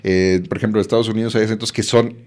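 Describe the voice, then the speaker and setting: A man's voice: lowish voice, fairly even intonation, very fast, darkish tone. A man interviews his guest conversationally. He often hesitates and restarts, drops in fillers, and affirms what his guest says.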